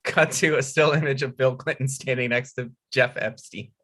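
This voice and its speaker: A man's voice: medium pitch, steady tone, normal speed, fairly bright tone. A man narrating a script's stage directions at a table read.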